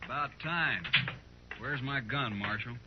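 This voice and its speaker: A male actor as a swaggering outlaw from an old radio western. He talks slowly and boastfully in folksy cowboy phrases, quick to suspect. The recording is dull and vintage. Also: mid-range voice, very noisy, close to an everyday voice. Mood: surly. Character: swaggering, suspicious outlaw gunman of the Old West. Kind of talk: 1950s radio western acting.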